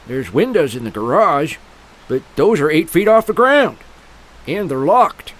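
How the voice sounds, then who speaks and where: An actor playing a grumpy old American filling-station owner in a comic audio drama, folksy, gruff and dismissive. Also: male voice, a little high, big pitch swings, a little slow, a bit bright, full character voice.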